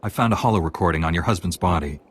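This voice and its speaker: An actor playing a confident, steady young Jedi apprentice in a video game, firm and matter-of-fact. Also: male voice, sits low, racing, husky. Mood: reassuring. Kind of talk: heroic video game dialogue.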